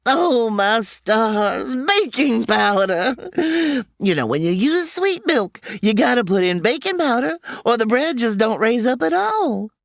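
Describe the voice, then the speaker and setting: A woman plays a motherly old frontier landlady who fusses over her cooking in a homespun drawl. Her lively, swooping voice sits a little high and moves at an unhurried pace, recorded dry and close. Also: gravelly, no echo, exaggerated voice. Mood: fussing. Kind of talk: comedy audio drama acting.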